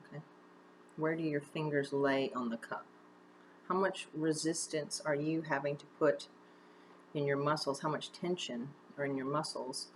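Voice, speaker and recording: A woman's voice: low-pitched, a little hiss or hum, low-quality recording. A woman drama teacher giving a conversational, encouraging step-by-step lesson on a home-recorded video. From United States. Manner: conversational, encouraging step-by-step instruction with direct questions. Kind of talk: instructional video mini-lesson.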